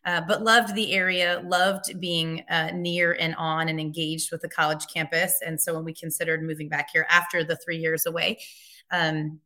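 A woman's voice: low voice, flat delivery, moderate pace, bright, forceful tone. A middle-aged woman from New Hampshire, a physician assistant and church co-leader, chatting as a radio guest with casual fillers. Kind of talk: interview guest.

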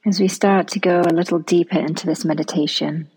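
A woman leading a guided relaxation meditation in a soft, soothing manner, often trailing off into a near-whisper. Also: on the low side, fairly even intonation, a little fast, slightly rough, rough recording.

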